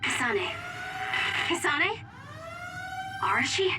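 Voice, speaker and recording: Earnest young soldier heroine over music. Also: female voice, sits high, sing-song, takes it slowly, distant mic, heavily acted. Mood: focused, relieved. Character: earnest, urgent young woman soldier in an anime game. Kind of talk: anime game English dub acting.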